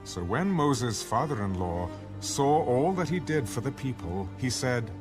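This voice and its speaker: A man's voice: mid-range voice, very animated, measured pace. A man reading scripture as the narrator of a dramatized audio Bible. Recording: music bed under the voice. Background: music.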